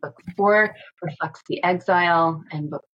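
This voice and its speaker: A woman professor calmly and methodically explaining a teaching on a podcast. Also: on the low side, a little slow, breathy edge, lo-fi sound. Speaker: Hebrew Bible professor. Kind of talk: explanatory academic talk.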